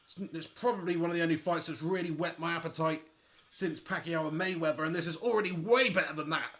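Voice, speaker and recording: Excitable man commentating a fight. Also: fairly high pitch, fast talker. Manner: chatty and excitable, talks straight to viewers. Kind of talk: live reaction commentary to a boxing match.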